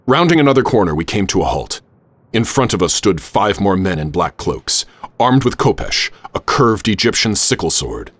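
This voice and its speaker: An adventurous professor narrating a radio play. His low voice moves up and down with theatrical flair, and music runs behind it. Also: sing-song, fairly bright tone, no echo. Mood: dramatic. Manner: measured, literary, theatrical storytelling. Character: adventurous, wary gentleman professor recounting his exploits. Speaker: narrator and lead character. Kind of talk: radio theater, dramatic first-person narration.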